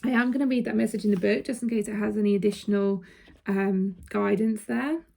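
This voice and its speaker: A woman with a croaky throat doing a casual card reading for her viewers. She speaks chatty and direct, often checking in with "okay?" and laughing between points. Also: medium pitch, fairly even intonation, a little fast, warm tone. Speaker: intuitive card reader.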